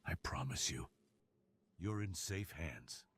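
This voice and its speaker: Guy doing a smooth talking crime boss for asmr roleplay, lowish voice, hushed and teasing, dominant but tender. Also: expressive, heavily acted. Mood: coaxing, reassuring. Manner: slow, teasing, hushed delivery that drops into whispers. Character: alluring, dominant, seductive male crime boss with a tender side.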